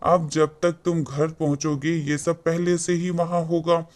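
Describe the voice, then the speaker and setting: Cartoon swami, played by a man: fairly high male voice, benevolent, gently instructing, grants wishes. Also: little pitch movement, a little slow, close to an everyday voice. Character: kind, wish-granting male holy man. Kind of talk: cartoon moral story acting.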